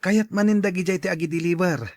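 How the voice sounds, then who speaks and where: A man's voice: high-pitched, fast, darkish tone, dry recording. A man playing a jovial, scheming drug dealer who talks people round in an audio drama. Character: scheming, jovial male drug dealer luring poor neighbours.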